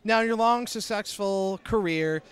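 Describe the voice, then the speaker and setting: A man's voice: sits high, moderate pace, fairly bright tone, clean tone. A man interviewing a guest, asking prepared questions politely and starting them with hesitant fillers.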